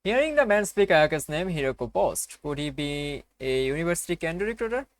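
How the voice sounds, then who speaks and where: Man, fairly high voice, visual novel narration, casual playthrough commentary. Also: very animated, brisk, no room sound. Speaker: game playthrough host.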